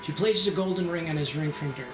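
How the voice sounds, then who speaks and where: A man's voice: a little high, fast talker. A man narrating a screenplay at a table read with a dramatic storytelling delivery. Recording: echoey room with background music.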